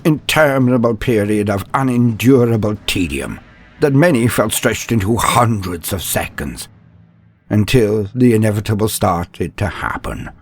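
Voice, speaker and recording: A man's voice: lowish voice, breathy edge. A man narrating a comedic fantasy story in a mock-epic, dramatic storytelling manner.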